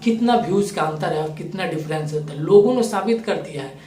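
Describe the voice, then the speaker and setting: A man's voice: on the high side, lively intonation, brisk, warm tone, slight background noise. A man giving opinionated video commentary to viewers in an emphatic, accusatory manner. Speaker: YouTube commentator. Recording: roomy echo, distant microphone.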